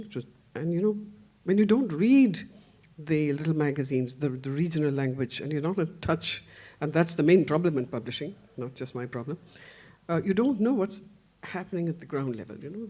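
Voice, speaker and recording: An older woman from India, speaking on a festival panel in a rambling, self-deprecating way with many restarts and soft laughs. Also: low voice, lively intonation, normal speed, slightly rough. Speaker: panelist, translation editor. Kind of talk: panel discussion.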